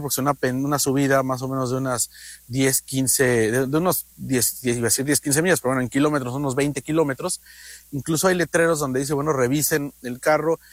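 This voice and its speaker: A man's voice: medium pitch, dry recording. Mexican man hosting radio, dry close sound. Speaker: radio host. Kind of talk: conversational travel commentary on radio.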